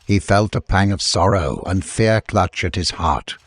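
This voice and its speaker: A man's voice: sits low, a little slow, slightly rough, dry recording. A man reading a dramatic scripted adventure story as an audiobook narrator, with faint background noise.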